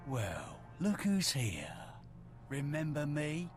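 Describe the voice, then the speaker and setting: A man's voice: a little high, huge pitch swings, slow pace, faint music behind, full character voice. A man playing a menacing Sith academy rival in a video game, taunting and threatening like a bully. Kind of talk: video game character acting.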